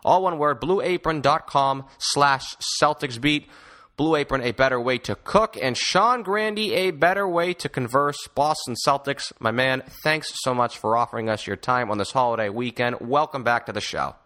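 A man's voice: medium pitch, normal speed, sharp tone. A man hosting a sports podcast, with an emphatic, salesy radio-style delivery. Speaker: podcast host.

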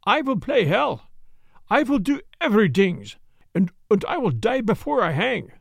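A man's voice: high voice, sing-song, unhurried, gravelly, a little mellow, dry recording, studio quality, heavily acted. A man voicing a stubborn, boastful male prisoner with a heavy German accent and broken English. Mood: defiant. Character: wild defiant male prisoner, stubborn and blustering.

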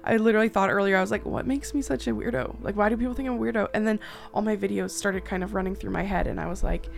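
A woman hosting a podcast in a casual, animated, self-deprecating way with salty language. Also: a little high, vocal fry. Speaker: podcast host. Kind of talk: casual chatty podcast banter.

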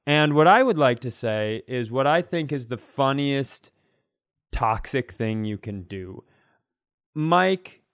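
A man's voice: medium pitch, clear, resonant tone, dry recording, clean studio sound. A man co-hosting a comedy podcast, bantering casually in a wry, mock-serious way.

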